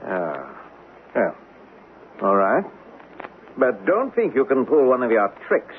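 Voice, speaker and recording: Gruff, greedy bully, swooping delivery. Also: male voice, medium pitch, wildly swooping pitch, slow pace, phone-quality sound. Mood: greedy, wary. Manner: gruff, contemptuous, threatening. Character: greedy, suspicious, bullying man guarding a treasure. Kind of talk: old-time radio drama acting. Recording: thin, noisy vintage radio recording.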